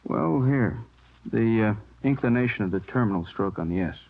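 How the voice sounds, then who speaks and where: A methodical American police lab expert, with a lowish voice and a halting, explanatory delivery. It sounds like a tinny vintage radio drama. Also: male voice, lively intonation, vocal fry. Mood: absorbed, matter-of-fact. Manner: explanatory, halting, checks listener follows. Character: methodical, unhurried male police handwriting expert. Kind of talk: 1950s radio drama acting. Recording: tinny old radio recording.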